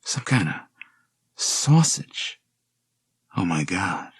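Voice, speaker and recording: A man's voice: deep voice, sing-song, extremely slow, breathy edge, no room sound, studio quality, full character voice. A man voicing anxious, breathless college girls in an audiobook, urgent and exclaiming. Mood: alarmed, disgusted.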